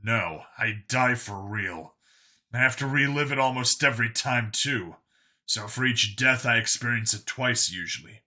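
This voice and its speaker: A man's voice: medium pitch, a little slow, sharp tone. A man voicing a world-weary clone cop in an audio story, burdened by guilt and explaining things matter-of-factly. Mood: grim, resigned.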